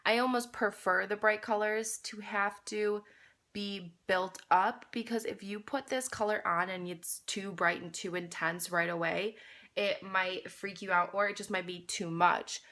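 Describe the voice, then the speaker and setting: Bright-voiced woman with a nasal cold. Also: medium pitch, steady tone, unhurried. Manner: chatty, addresses viewers as you guys. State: congested with a cold, slightly nasal. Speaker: beauty vlogger. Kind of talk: casual makeup tutorial chat.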